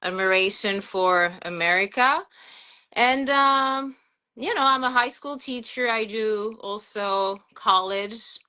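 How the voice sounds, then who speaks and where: A woman in her forties with a French accent, chatting casually as an interview guest, relaxed and full of fillers. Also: mid-range voice, takes it slowly, smooth. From France. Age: 40s. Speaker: guest, sneaker collector and teacher.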